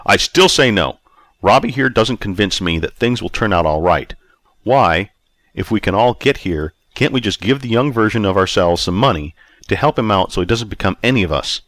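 A man's voice: lowish voice, expressive, normal speed, fairly bright tone, dry recording. A man voicing a snooty, quarrelsome rich man in an audiobook story, snide and demanding. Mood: skeptical. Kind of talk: acted audiobook dialogue.

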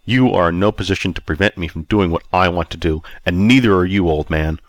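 A man's voice: deep voice, fairly quick, dry recording. A man voicing a snooty, quarrelsome rich man in an audiobook story, snide and demanding. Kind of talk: acted audiobook dialogue.

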